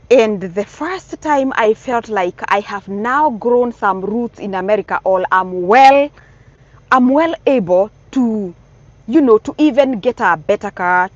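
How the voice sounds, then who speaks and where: A woman's voice: mid-range voice, muffled recording. Earnest Kenyan woman giving advice. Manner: earnest, repeats herself, emphatic warnings. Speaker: vlogger giving advice to newcomers. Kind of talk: casual advice vlog.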